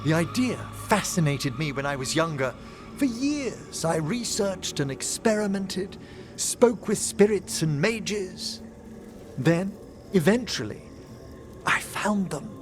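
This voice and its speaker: A refined, lilting necromancer scholar. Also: male voice, sits high, animated, music in the background. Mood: earnest, reflective. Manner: formal, eloquent, measured phrasing. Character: refined older male necromancer scholar, gentle and wistful. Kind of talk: video game dialogue acting.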